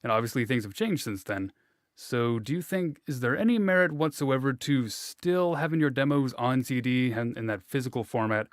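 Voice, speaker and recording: Man, interviewer, easygoing, playful questions, mid-pitched voice. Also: moderate pace, dry recording, clean studio sound. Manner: easygoing, joking, filler-heavy. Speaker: interviewer, host.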